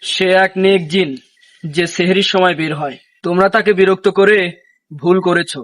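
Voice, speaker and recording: A man playing a village imam who speaks slowly and sternly in a horror drama. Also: sits high, steady tone, a bit bright.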